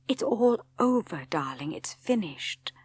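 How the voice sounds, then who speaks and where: A woman reading an audiobook as an anxious young English wife, tentative, breathy and pleading. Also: medium pitch, sing-song, slow pace, a little mellow, pitched above the performer's own voice, full character voice. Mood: pleading, relieved. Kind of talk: audiobook character dialogue.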